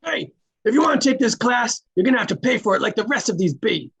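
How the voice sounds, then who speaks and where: A woman voicing an angry boot camp instructor who yells, in a script table read. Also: on the high side, expressive, quick pace, husky, pitched above the performer's own voice. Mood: angry yelling. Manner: yelling.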